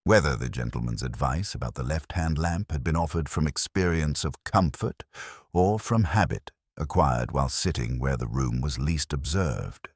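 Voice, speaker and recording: A man reading scripted literary narration in a measured, formal, wry manner. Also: rumbling low, husky, no echo, studio quality. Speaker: audiobook narrator.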